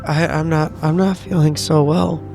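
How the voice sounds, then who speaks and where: A man's voice: fairly high pitch, steady tone, normal speed, creaky, music in the background. A man improvising in character in a tabletop roleplaying game, hesitant and often repeating his words.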